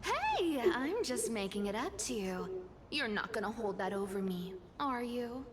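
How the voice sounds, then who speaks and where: An actress playing a mischievous young trickster demigod thief in a video game, with a sly, playful, theatrical manner. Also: female voice, a little high, sing-song, a little slow, a little hiss or hum. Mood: playful coaxing. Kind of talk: animated game voice acting.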